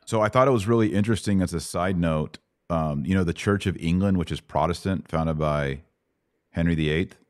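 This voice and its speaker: A man's voice: sits low, a little fast, dry recording, studio quality. A middle-aged man hosting a podcast, casually teaching in a live Bible Q&A and explaining things with paraphrased quotes in everyday words. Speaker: podcast host answering Bible questions.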